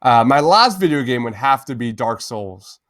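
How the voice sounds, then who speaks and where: A man's voice: medium pitch, animated, normal speed, fairly bright tone, no room sound, clean studio sound. Enthusiastic man, casual podcast co-host. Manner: enthusiastic, uses "man" often.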